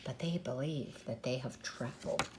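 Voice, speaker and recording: A woman's voice: rumbling low, moderate pace, background noise. An older woman reading a children's story aloud expressively, with chatty asides to the listener. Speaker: storybook reader.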